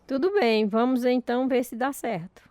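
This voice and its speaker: A woman's voice: on the high side, normal speed, darkish tone, soft background music, lightly acted. A woman playing a devout, polite, earnest country mother in a radio drama. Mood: polite, concerned.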